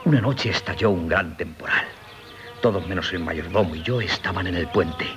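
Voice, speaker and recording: An actor playing an earnest, polite and sickly young would-be sculptor in a Spanish radio play, with a Castilian accent and hesitant delivery. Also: male voice, low-pitched, moderate pace, natural voice. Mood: absorbed, narrating. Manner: polite, hesitant, deferential storyteller. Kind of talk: 1970s Spanish radio theatre acting. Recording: 1970s radio drama with music.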